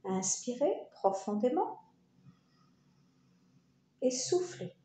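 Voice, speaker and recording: Slow, mellow woman guiding relaxation. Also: sits high, sing-song. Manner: soothing, unhurried instruction with short phrases and pauses. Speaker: yoga teacher leading a guided relaxation. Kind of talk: guided meditation narration. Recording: roomy echo, faint background noise.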